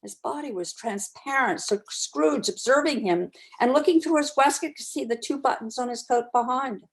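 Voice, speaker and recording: A woman with a fairly high voice narrates a classic story. She reads aloud as a community storyteller, in an expressive, deliberate and dramatic way. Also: rough recording.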